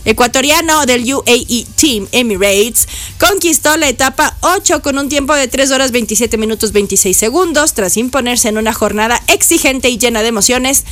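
A woman's voice: a little high, normal speed, fairly bright tone. A woman who hosts a radio show and reads the news casually, with playful teasing asides. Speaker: radio host. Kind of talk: chatty news commentary.

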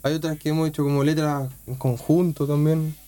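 A man, a band member chatting calmly in an interview. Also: on the high side, brisk. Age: 30s. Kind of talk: casual interview chat.

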